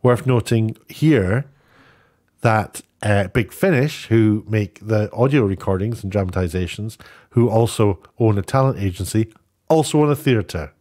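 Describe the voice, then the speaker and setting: Low-voiced man giving rambling commentary. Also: unhurried, a little mellow. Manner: rambling, opinionated, often rephrasing himself. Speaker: online video presenter. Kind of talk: off-the-cuff news commentary.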